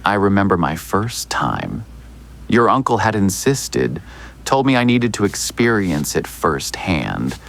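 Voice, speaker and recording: Audio drama lawyer: smoothly evasive, insinuating politeness, low gravelly voice that swings dramatically. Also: male voice, big pitch swings, fairly bright tone, exaggerated voice. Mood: cryptic, insinuating. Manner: measured, theatrical, hinting.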